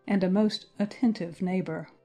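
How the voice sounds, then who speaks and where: A woman voicing a sensible, tactful young married gentlewoman in a dramatized audiobook, composed and polite. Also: mid-range voice, fairly even intonation, normal speed, soft background music, lightly acted. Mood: tactful, approving. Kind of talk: dramatized audiobook character acting.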